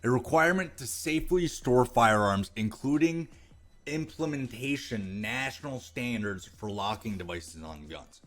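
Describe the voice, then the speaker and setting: A man's voice: medium pitch, expressive, measured pace, soft background music. An American man giving opinionated commentary, reading aloud and reacting with sarcastic, profane scorn. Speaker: video commentator.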